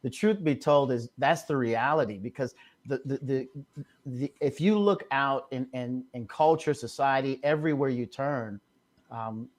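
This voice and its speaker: Older man, halting debater. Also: mid-range voice. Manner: halting, stammering starts. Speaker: debate guest. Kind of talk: live debate.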